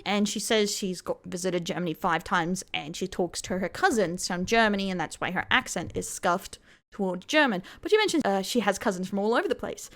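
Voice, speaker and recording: A woman hosts a commentary video and talks through each clip in a skeptical, critical tone. Her voice sits at an ordinary middle pitch. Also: a little fast. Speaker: commentary host. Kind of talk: reaction commentary.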